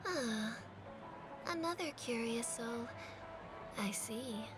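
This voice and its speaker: An actress playing a worldly, candid investigative reporter in a video game, with a wry, knowing manner. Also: female voice, on the high side, lively intonation, slow. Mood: candid, resigned. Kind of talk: scripted video game voice acting. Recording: loud background music behind voice.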